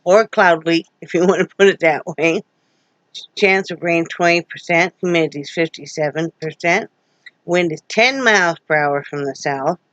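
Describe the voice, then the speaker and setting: A woman's voice: deep voice, fairly bright tone, no echo. Wheezy folksy woman on a dull recording. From Wyoming, USA. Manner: folksy, rambling, many fillers. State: wheezy, sore throat. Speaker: vlogger. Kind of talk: casual home vlog chat. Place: front room at home.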